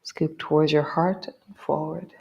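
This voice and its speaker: A middle-aged woman, gently guiding a yoga class with a slightly stuffy nose. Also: sits low, steady tone, vocal fry, lo-fi sound. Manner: gentle, encouraging, drawn-out cues. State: stuffy nose from a coming cold. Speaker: yoga teacher. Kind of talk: guided yoga class instruction.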